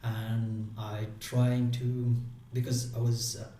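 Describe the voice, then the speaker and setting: A man who is not a native English speaker, a guest giving casual interview answers in a halting way, with many restarts and fillers. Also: mid-range voice, flat delivery, dark tone. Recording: echoey room, distant mic, faint noise.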